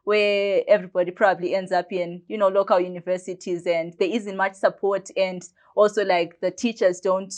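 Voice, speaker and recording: A woman's voice: medium pitch, little pitch movement, moderate pace, hardly any pauses, darkish tone. A young woman of about twenty, chatting as an interview guest and telling her story with plenty of "like" fillers. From Zimbabwe. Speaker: guest, biomedicine student. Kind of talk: casual storytelling in conversation.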